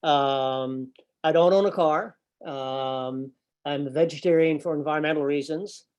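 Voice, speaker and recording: Older man, halting, lots of fillers, video call, slightly high voice. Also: darkish tone, clean tone. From grew up overseas. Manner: hesitant, many fillers and repeated words. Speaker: environmental nonprofit director and speaker. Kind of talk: informal talk to a business audience. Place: office room with a window. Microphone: laptop webcam, video call.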